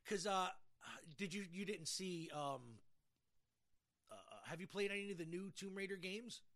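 A man's voice: high voice, unhurried, a bit bright. A man hosting a podcast in casual chat, with a jokey, self-deprecating manner. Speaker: podcast host. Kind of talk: casual chat about games and music.

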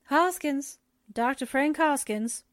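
A woman's voice: sits high, takes it slowly, no echo, clean studio sound. A woman playing a sardonic, reluctant sidekick to a newspaperwoman, with wry 1940s radio drama delivery. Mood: dry, annoyed.

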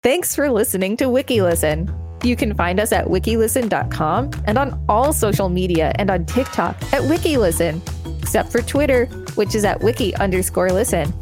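Woman, podcast co-host, mid-pitched voice, reading aloud, casual banter, music behind. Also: expressive, moderate pace.

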